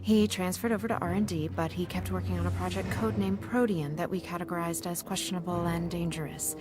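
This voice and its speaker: An actress playing a dry, efficient executive assistant giving a brisk briefing. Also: female voice, lowish voice, fairly even intonation, over music, natural voice.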